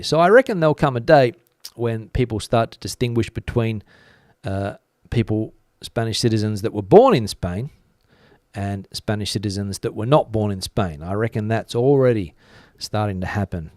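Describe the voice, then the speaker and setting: A man's voice: fairly low pitch, lively intonation, normal speed, a little mellow, no echo, studio quality. A man hosting a live stream, talking through the news in a casual, conversational way and musing as he answers viewers. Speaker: host of a live commentary stream. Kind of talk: casual news commentary, reading out viewer comments.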